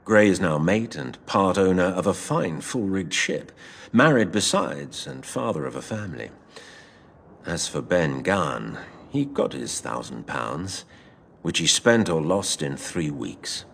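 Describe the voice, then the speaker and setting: Deep, creaky old seafarer. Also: male voice, exaggerated voice. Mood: wry. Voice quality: low, gravelly. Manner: measured, literary, expressive. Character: older seafaring man telling his past adventure to a boy, wry and fond. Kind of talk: dramatised storytelling narration.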